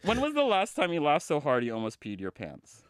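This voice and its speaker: Playful, lively man hosting. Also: on the high side, brisk, smooth, no echo, studio quality. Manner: playful, teasing, warm laughter. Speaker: podcast host. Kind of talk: casual chat, interview questions.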